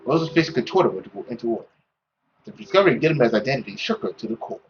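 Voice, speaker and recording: A man's voice: mid-range voice, very animated, fast, muffled recording, slight background noise, voice pushed down, lightly acted. A man reading as a solemn storyteller, narrating a visual novel in a dramatic scripted way.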